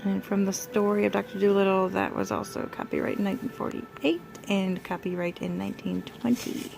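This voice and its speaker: Young woman, creaky voice, storybook read-aloud, motherly, gentle prompting of a child, light background music. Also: mid-range voice, fairly even intonation, fairly quick, low-quality recording. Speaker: mother reading to her toddler.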